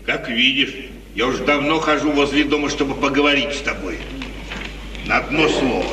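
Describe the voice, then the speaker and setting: An actor playing a menacing, sly outlaw in an insinuating 1950s radio theatre manner. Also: male voice, medium pitch, normal speed, close to an everyday voice. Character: menacing, sly outlaw bandit, sharp-featured killer. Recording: old echoey radio play, distant, noisy.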